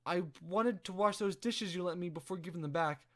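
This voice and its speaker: A man reading a visual novel aloud as a let's play host, with expressive storytelling narration. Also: sits high, brisk.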